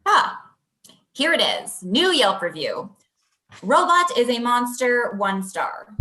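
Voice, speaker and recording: A woman's voice: on the high side, sing-song, slow, pushed and bright, echoey room, full character voice. A woman playing a teasing office co-worker in a comedy table read over video call. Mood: matter-of-fact. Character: teasing female co-worker of a robot. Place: indoor room on a video call.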